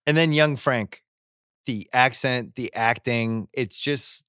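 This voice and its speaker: A man's voice: mid-range voice, slow, pushed and bright, clear, resonant tone, no room sound. A middle-aged man hosting a casual movie podcast, speaking close to a studio mic. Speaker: podcast host. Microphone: broadcast mic on a boom arm, close.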